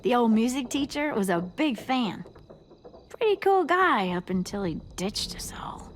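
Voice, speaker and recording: A woman's voice: a little high, very animated, unhurried, a little hiss or hum. An actress playing a practical, friendly teenage girl in a video game.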